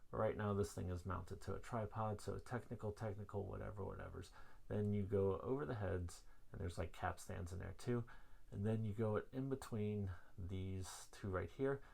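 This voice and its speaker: A man giving a casual, hands-on gear demonstration in an informal, rambling, self-deprecating way, with a gravelly, husky voice. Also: on the low side, steady tone, moderate pace, a little mellow. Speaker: vlog host.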